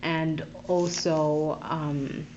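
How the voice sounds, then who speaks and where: A woman from Zambia, a podcast guest who works with youth, talking in a thoughtful, searching way with many pauses and restarts. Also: deep voice, little pitch movement, a little mellow, muffled recording, a little hiss or hum. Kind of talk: casual interview talk.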